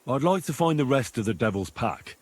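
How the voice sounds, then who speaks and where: A man playing an earnest, curious medieval adventurer in a video game, asking direct questions in a husky voice. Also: mid-range voice, lively intonation, a little fast. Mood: polite, inquiring. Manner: inquisitive, direct, plain-spoken. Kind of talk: dramatic video game dialogue.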